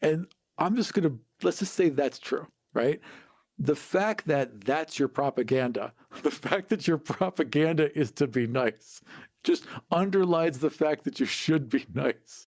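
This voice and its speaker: A man's voice: a little high, expressive, measured pace, darkish tone, no echo. A man from the United States chatting casually as a podcast co-host, arguing his points with emphasis. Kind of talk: casual chat about tabletop gaming.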